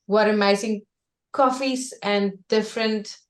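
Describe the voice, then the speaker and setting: A woman who co-runs a coffee import business, speaking gently in an interview. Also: medium pitch, fairly even intonation. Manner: slow and gentle, laughs between phrases. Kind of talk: casual interview talk. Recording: echoey room, from a distance.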